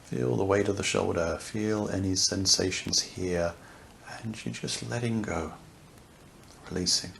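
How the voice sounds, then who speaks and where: A man leading a guided yoga nidra relaxation, speaking softly and soothingly, unhurried, with long pauses. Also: low voice, creaky. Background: crackling fireplace ambience.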